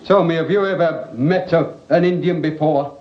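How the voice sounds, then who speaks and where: A man's voice: fairly high pitch, moderate pace, close to an everyday voice. A middle-aged man playing a grudging, henpecked sitcom dad, grumbling and dry. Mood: grudging bluff. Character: grudging, henpecked cockney dad posing as an Indian chief. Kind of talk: 1950s British TV sitcom acting. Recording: echoey, muffled, very noisy old TV soundtrack.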